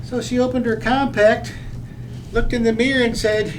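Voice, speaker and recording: A man's voice: very high voice, level tone, normal speed, slight background noise, rough recording. An actor playing a polite, matter-of-fact male accountant in an old-time radio comedy, with hesitant delivery. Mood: dry, matter-of-fact. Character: polite, dry male income tax accountant.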